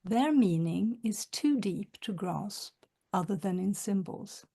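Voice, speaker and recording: Swedish-born woman author, webinar talk, slow and soft, lots of um and you know, dark mellow tone. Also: medium pitch, animated, creaky, breathy edge. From northern Sweden. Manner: soft-spoken, halting, many fillers and restarts. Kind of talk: reflective lecture with read quotations.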